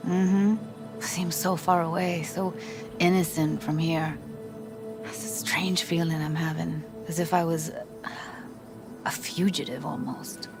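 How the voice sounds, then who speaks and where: A young woman in a video game, uneasy and reflective. She speaks softly and hesitantly in a lowish register. Music plays clearly beneath her voice. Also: fairly even intonation, unhurried. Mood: wistful.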